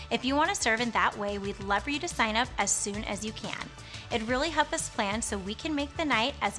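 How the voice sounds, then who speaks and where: A woman reading scripted church announcements in a smooth, upbeat, even way. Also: on the high side.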